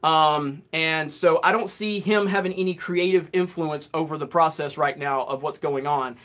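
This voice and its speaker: A man in his late thirties hosting a live Q&A stream, reading out viewer comments and answering in a relaxed, rambling way. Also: on the high side, normal speed. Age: 30s. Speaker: host.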